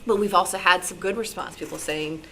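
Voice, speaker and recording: A woman's voice: fairly low pitch, fairly quick, creaky, a bit bright. A woman speaking informally as a radio programmer on a panel, explaining decisions in a conversational, hedging way. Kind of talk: explanatory talk to an audience. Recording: noisy live meeting room recording.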